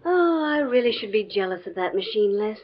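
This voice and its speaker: Animated young female voice, acted wry playful fiancée, 1950s radio drama, dull old recording. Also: medium pitch, fairly quick, crowd noise, natural voice. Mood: playful, affectionate. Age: young adult. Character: teasing, forgiving young fiancée of a professor.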